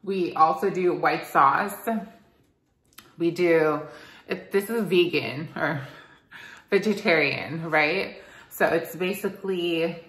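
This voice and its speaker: A woman's voice: deep voice, slow pace, pauses often, fairly bright tone. A young woman in her twenties chatting casually to camera in a vlog, full of "like" and "um" fillers, recorded on a phone held at arm's length. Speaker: vlogger. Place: home kitchen indoors, later a rooftop terrace outdoors. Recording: ambient background noise.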